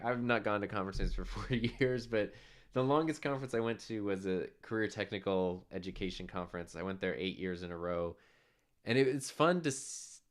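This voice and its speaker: A man co-hosting a casual livestream podcast, speaking in an easygoing way and laughing often. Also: low-pitched. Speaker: co-host.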